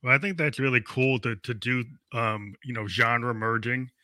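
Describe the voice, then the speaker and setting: Bright-voiced man in his fifties hosting. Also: mid-range voice, fairly even intonation, moderate pace, echoey room. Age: 50s. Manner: rambling, restarts often, laughs easily. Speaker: radio show host. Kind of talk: casual interview chat.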